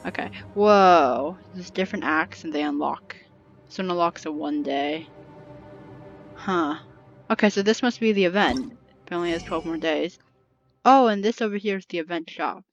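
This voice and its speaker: A woman's voice: fairly low pitch, moderate pace. A woman comments casually on a game she is playing. She thinks aloud in an informal, reactive way, recorded with a slightly dull sound and with music behind her. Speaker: gamer commentating on play. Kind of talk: casual let's play commentary.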